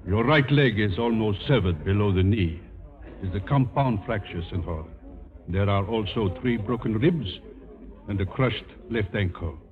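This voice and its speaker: An actor playing a grave, formal hospital doctor in a 1950s radio drama. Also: male voice, on the low side, moderate pace, lightly acted. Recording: muffled phone-like vintage radio broadcast.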